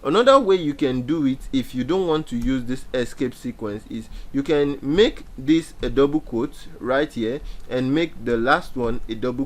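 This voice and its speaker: Young man teaching code, patient and repetitive. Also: mid-range voice. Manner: explanatory, repeats key points with many fillers. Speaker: programming instructor. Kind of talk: screen-share coding tutorial.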